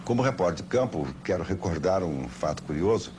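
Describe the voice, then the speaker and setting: A man's voice: low voice, expressive, fairly quick. An older Brazilian man telling anecdotes in an interview as a veteran sports broadcaster, in an emphatic storytelling manner. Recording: noisy old TV broadcast tape.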